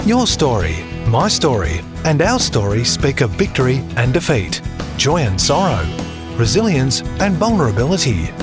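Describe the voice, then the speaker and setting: A man's voice: medium pitch, huge pitch swings. Solemn male narrator over guitar music. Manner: measured, solemn delivery. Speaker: show intro narrator. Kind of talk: scripted narration. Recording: music playing behind the voice.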